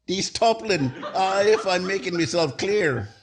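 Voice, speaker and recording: A man's voice: sits high, lively intonation, unhurried. A middle-aged man playing a cheerful, wisecracking Irishman with an Irish accent in 1940s radio comedy style. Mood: jovial boasting. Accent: put-on Irish.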